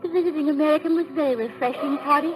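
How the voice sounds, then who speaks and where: An actress playing an affectionate English former stage star, a playful and refined wife, in an old-time radio drama. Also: female voice, high-pitched, racing, over music, natural voice. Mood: fond, teasing. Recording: noisy vintage radio recording.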